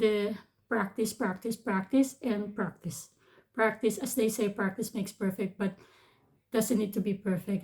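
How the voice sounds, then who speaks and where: A woman's voice: medium pitch, gravelly, a little mellow. A woman in her thirties from the Philippines with a Filipino accent, talking casually and hesitantly in a vlog with many restarts. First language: Tagalog. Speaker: vlogger. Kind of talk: casual advice talk.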